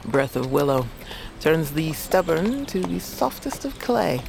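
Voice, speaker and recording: An actress playing an old healer witch and grandmother in a fantasy audio drama, wry and wise, speaking in measured, cryptic storytelling phrases. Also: sits low, big pitch swings, creaky, noisy background, full character voice. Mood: wry, sorrowful. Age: older. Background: music behind the voice.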